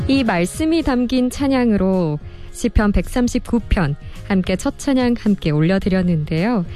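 A woman hosting a radio music show, reading listener messages in a polite, expressive presenter style. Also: mid-range voice, moderate pace. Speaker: radio host and producer. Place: radio studio.

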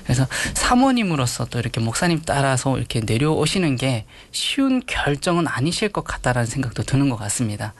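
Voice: mid-range voice, sing-song. An interviewer politely questioning church members, with frequent short affirmations. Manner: polite, quick affirmations and soft laughs.

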